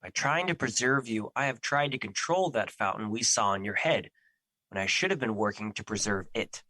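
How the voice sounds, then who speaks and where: A man playing Fear as an earnest, protective personified emotion in an audio drama, with formal, measured phrasing. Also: on the low side, expressive, sharp tone, dry recording, poor audio.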